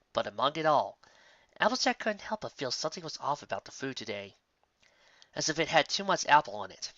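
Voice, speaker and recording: Amateur fan fiction narrator: lively, bright delivery, dry close mic, slightly dull sound. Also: mid-range voice, no room sound. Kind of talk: animated story narration with character voices.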